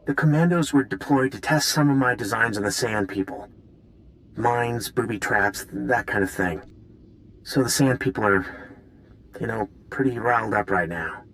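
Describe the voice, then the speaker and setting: A man's voice: medium pitch, normal speed, fairly bright tone, lightly acted, low-quality recording. Game role, male actor: anxious male weapons designer, mid-range, stammering, remorseful, eager to help. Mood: nervous, earnest. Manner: hesitant, stammering, apologetic. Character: nervous, guilt-ridden male military weapons designer. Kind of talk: video game cutscene acting.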